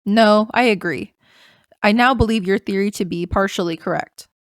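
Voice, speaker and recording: A woman voicing a composed female rabbit prosecutor who speaks in a cool, measured, condescending way. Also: mid-range voice, no echo, studio quality, close to an everyday voice. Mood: smug.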